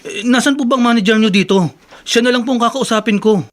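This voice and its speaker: A man's voice: very high-pitched, fairly quick, noisy background. A man playing a humble but stubborn young job applicant in a radio drama, pleading and emotional. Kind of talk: Tagalog radio drama acting.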